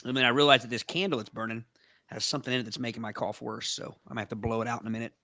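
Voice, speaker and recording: A man's voice: mid-range voice, steady tone, rapid-fire, sharp tone, no room sound. Man, podcast co-host, lingering cough, chatty, dry close sound. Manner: chatty, storytelling, laughs easily. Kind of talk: casual podcast chat.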